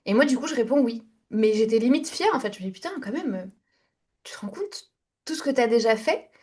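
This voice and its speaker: A woman's voice: fairly high pitch, animated, brisk, a little mellow, breathy edge. A young woman guest on a podcast, telling her story in a candid, chatty way with fillers and restarts. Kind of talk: casual interview storytelling.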